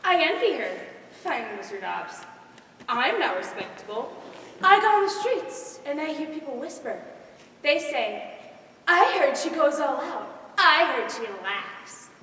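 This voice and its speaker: A woman's voice: high-pitched, sing-song, a little slow, bright, forceful tone, full character voice. A teenage girl acting an earnest, lovelorn, easily amused young woman in a school stage comedy, gushing and theatrical. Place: school stage, recorded from the audience. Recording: echoey hall from far off, noisy.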